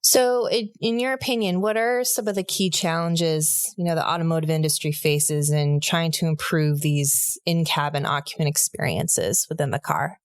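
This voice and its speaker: A woman's voice: sits low, normal speed, few pauses, no echo, clean studio sound. A woman hosting a podcast, introducing it and asking interview questions. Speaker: podcast host.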